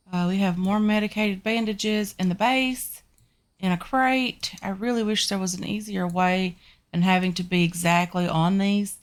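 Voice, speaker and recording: Congested woman chatting through a game. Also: medium pitch. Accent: Southern US. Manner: chatty, addresses viewers as guys, frequent ums. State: congested from a sinus infection. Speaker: gaming channel host. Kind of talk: casual game commentary.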